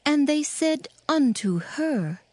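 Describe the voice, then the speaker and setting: A woman narrator reading scripture as a measured, formal, solemn scripted story. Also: high voice, very animated, darkish tone, no room sound. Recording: music under the voice.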